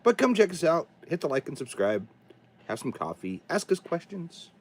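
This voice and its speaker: A man with a cold and a raspy, hoarse voice, rambling casually as he hosts a livestream from his home studio. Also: on the high side, big pitch swings, normal speed. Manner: rambling, chatty, talks to himself while setting up. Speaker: livestream host.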